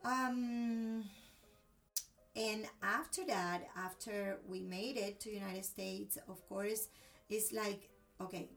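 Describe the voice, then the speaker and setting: A woman's voice: mid-range voice, fairly even intonation, takes it slowly, gravelly, fairly bright tone, recorded from a distance, faint music behind. A woman from Cuba with a Cuban accent and Spanish as her first language, telling a personal story expressively in a conversational interview.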